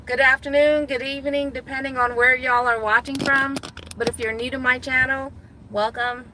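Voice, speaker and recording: A woman from Guam chatting casually to viewers from her car, thinking out loud and laughing easily. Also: high voice, level tone, normal speed, a little hiss or hum, poor audio. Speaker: gig delivery driver vlogger. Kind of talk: casual talking to viewers while driving. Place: inside a parked car.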